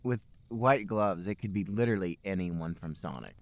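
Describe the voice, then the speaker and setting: A man's voice: sits low, normal speed. A man hosting a podcast, reading fan fiction aloud for comedy. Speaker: podcast host and reader.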